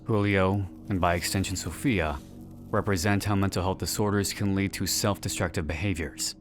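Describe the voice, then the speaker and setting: Low-voiced man narrating over music. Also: steady tone, normal speed. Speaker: narrator of a series analysis video. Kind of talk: scripted narration, video essay. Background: background music.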